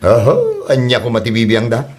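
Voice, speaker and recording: A man's voice: medium pitch, huge pitch swings, normal speed. A man playing a playful, amorous young husband full of excuses, with an Ilocano accent in a comedy drama. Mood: defensive.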